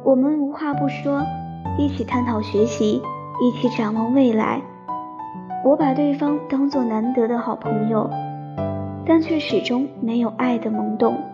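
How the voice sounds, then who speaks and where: Shy young heroine of a romance audio drama: high-ish, soft, sentimental, piano underscore. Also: female voice, a little slow. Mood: wistful, tender. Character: shy romantic young woman narrating her love story. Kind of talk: Chinese radio drama, sentimental first-person narration. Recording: slightly dull sound under piano music.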